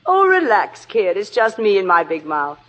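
An actress playing a brash, sardonic theatre diva, imperious and theatrical, in a 1950s radio drama. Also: female voice, mid-range voice, big pitch swings, moderate pace, fairly bright tone, big-room sound. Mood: offhand, wry.

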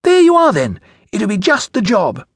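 A man's voice: high voice, huge pitch swings, moderate pace, husky, no echo, voice pushed up, full character voice. A man voicing an eager, impulsive eleven-year-old British schoolboy, enthusiastic and insistent in a children's audiobook. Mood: eager, explaining.

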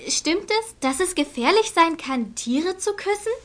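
An impish schoolkid in a children's audio comedy. The voice is high, swooping and slow, needling with mock seriousness. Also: female voice, lively intonation, fairly bright tone, full character voice. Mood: mock-serious.

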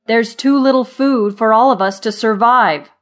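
A woman's voice: low voice, steady tone, normal speed, warm tone, natural voice. A woman plays a male storybook grasshopper who worries sourly about everything. The delivery is emphatic and snappy, with an exasperated, grumbling edge. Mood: gloomy, snappy.

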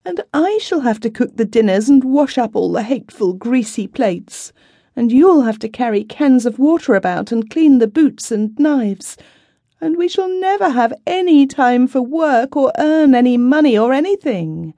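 A woman's voice: high voice, expressive, normal speed, mellow, no echo, voice pushed up. A woman reading aloud and voicing a fretful young wife who is upset and complaining, in a plaintive tone. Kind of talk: storybook reading.

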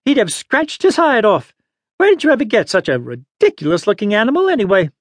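Storybook old lady, played by a male reader: pitched well up, tart, bossy, quick to scold. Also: sing-song, no room sound, exaggerated voice. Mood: tart, indignant. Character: sharp-tongued, bossy, indignant little old lady. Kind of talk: storybook character voice.

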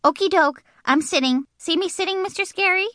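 A woman voicing a cheeky, chatty little schoolgirl in an audiobook, with a chirpy, drawn-out delivery. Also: extremely high pitch, sharp tone, no room sound, clean studio sound, voice pushed up. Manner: sassy, chirpy, drawn-out words. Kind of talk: audiobook character reading.

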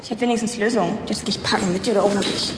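A woman's voice: medium pitch, fairly even intonation, very fast, close to an everyday voice. An actress playing a cheeky, blunt thirteen-year-old schoolgirl in an audio drama. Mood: defiant. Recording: clear background ambience noise.

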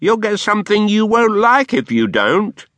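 Man voicing a saucy defiant lad, very high, pert back-talk. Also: very animated, moderate pace, fairly bright tone, no room sound. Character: cheeky defiant young boy, bold.

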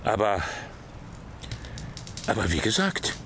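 A male actor playing a sober official who briefs a priest on a case in an audio drama, measured and slightly hesitant. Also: lowish voice, sing-song, a bit bright, slight background noise, exaggerated voice. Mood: cautious, factual. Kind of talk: German audio drama dialogue.

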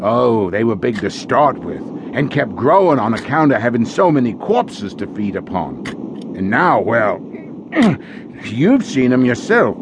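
An actor playing a sly, greedy, falsely pious gravedigger with a theatrical in an audio horror drama. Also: male voice, mid-range voice, big pitch swings, measured pace, noisy background. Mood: grim, gruff.

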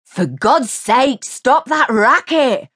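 Hoarse grumpy man, put on by a woman narrator, audiobook. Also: high voice, animated, slow pace, a bit bright, pitched above the performer's own voice, exaggerated voice. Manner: barking, blustering. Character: hoarse, grumpy middle-aged male neighbour.

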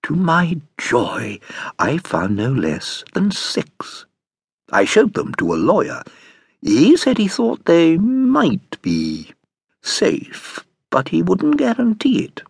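Urbane British storyteller, swooping expressive pitch, creaky husky voice, theatrical, amused, audiobook narration. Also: male voice, no echo, full character voice. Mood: confiding. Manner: relishing, theatrical, deliberate phrasing. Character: urbane, amused nephew narrating his uncle's legacy.

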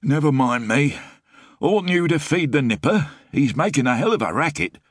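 A man's voice: on the high side, lively intonation, normal speed, dry recording, studio quality, pitched above the performer's own voice. A man voicing a scruffy, hungover, cheeky detective. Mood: grumbling. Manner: cheeky, grumbling.

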